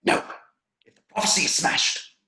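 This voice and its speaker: A man voicing a menacing, haughty dark wizard henchman with sneering, hissed lines in an amateur table read. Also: moderate pace. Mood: urgent menace.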